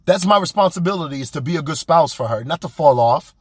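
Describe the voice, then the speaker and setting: A young man speaks as a podcast host, blunt and colloquial as he pushes listeners to grow. His voice sits a little high and swings widely with emphasis. It has a husky edge and sounds dry and close, with little room around it. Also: quick pace, no echo. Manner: animated, preachy, blunt and colloquial. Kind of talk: motivational monologue.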